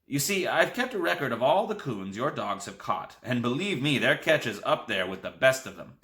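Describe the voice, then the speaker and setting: Excitable, folksy old grandpa, raised and lively in pitch, bright tone, as an audiobook reader puts him on. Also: male voice, normal speed, echoey room. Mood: earnest. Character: excitable old grandfather, storekeeper and deal-maker.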